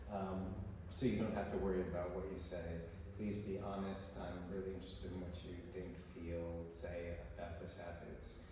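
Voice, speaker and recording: Distant, echoey low-voiced male interviewer. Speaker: user-testing interviewer. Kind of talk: conversational research interview, explaining a task. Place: reverberant indoor room, far from mic. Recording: very echoey room, distant mic, noisy.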